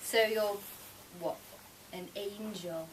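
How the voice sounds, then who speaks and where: A woman's voice: medium pitch, fairly even intonation, slow, natural voice. A woman voicing a sceptical, sarcastic story character who questions everything, read live from fiction. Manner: sardonic, questioning. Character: sceptical sarcastic narrator dating Death. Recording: distant mic, noisy room ambience.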